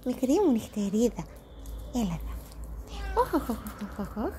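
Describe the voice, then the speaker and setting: A woman croons to her pets in gentle baby talk, her fairly high voice bouncing up and down in a lively singsong. She repeats little pet names over and over. Faint room ambience and dogs sit in the background. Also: background noise. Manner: repeats soft endearments in a singsong. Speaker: pet owner.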